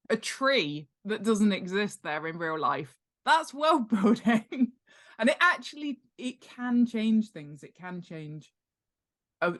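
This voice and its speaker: A woman chatting casually as a guest expert in an interview, in a chatty, jokey and emphatic way. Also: medium pitch. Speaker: guest author and world-building expert. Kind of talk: casual podcast interview chat.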